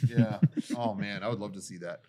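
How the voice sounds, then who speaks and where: A man co-hosting a podcast in casual chat, excitable, laughing and loudly repeating catchphrases. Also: lowish voice, fairly quick. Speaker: podcast co-host.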